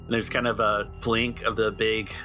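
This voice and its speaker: A man's voice: lowish voice, little pitch movement, moderate pace, pushed and bright. A man acting as game master, casually narrating a tabletop roleplaying game.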